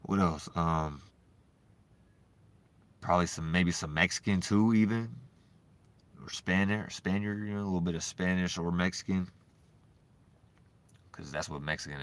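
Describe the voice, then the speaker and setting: A man vlogging in a loose, profane, rambling monologue, swinging between animated bursts and low mumbling. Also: moderate pace, pauses often. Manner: loose, profane and repetitive, full of "you know what I'm saying".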